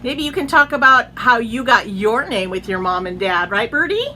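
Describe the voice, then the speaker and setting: A woman reading a children's picture book aloud in an expressive storytelling manner, chatting in asides to her pet. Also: on the high side, normal speed, slight background noise, poor audio. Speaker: read-aloud host, teacher.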